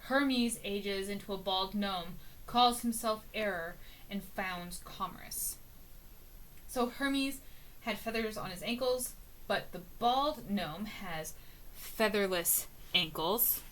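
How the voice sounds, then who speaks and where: Softly musing woman reading notes. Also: mid-range voice, takes it slowly, a little hiss or hum, rough recording. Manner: musing, with many ums and uhs; reads quotes aloud, trailing off softly. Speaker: book reader and annotator. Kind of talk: casual reading notes on a novel.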